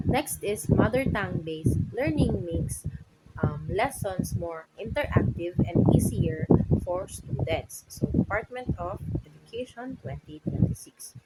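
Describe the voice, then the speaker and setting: A young Filipino woman from the Philippines, a native Tagalog speaker, giving a class presentation with frequent "so" and "um" and a halting delivery. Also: medium pitch, expressive. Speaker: student presenter. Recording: loud background noise.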